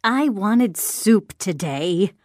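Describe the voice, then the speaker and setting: A woman's voice: medium pitch, lively intonation, drawn-out words, a little mellow, no echo, clean studio sound. A woman voicing a grumpy, demanding bedridden mother in a storybook, querulous and hard to please. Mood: peevish. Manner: querulous, complaining, drawn out. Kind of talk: storybook character dialogue.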